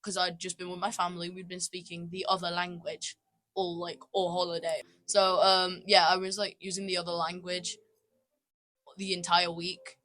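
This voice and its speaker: A woman's voice: fairly low pitch, level tone, normal speed, sharp tone. A teenage girl co-hosting a casual student podcast, talking in a chatty way, repeating herself and laughing as she goes. English is not her first language. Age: teens. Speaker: podcast co-host. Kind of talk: casual chat.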